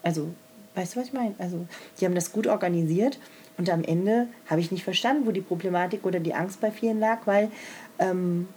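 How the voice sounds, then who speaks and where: A woman talking in a relaxed, blunt way, dropping swear words and teasing her friend. Her voice sits a little lower than most women's. A faint hiss of noise runs underneath. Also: fairly quick. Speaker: coach and organizer. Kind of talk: casual podcast chat.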